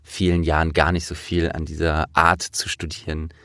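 A man in his thirties chatting casually as a podcast interviewer, with a jovial, animated manner. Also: rumbling low, normal speed, no echo, clean studio sound.

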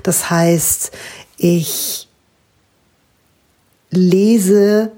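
A woman's voice: fairly low pitch, fairly even intonation, very slow, mellow. A woman translator answering students' interview questions, explaining her work thoughtfully at length with frequent hesitations.

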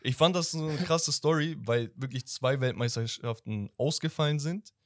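A young man co-hosting a casual football podcast, laughing often and telling stories in quick bursts. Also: medium pitch. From Turkish background. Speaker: podcast co-host.

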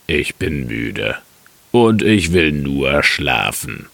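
A man voicing a sleepy, easygoing dog with a gravelly voice in a fairy tale. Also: rumbling low, sing-song, extremely slow, a bit bright, no echo, pitched below the performer's own voice, exaggerated voice. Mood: weary, sleepy. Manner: sluggish, weary. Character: sleepy, easygoing male dog, companion to a sparrow.

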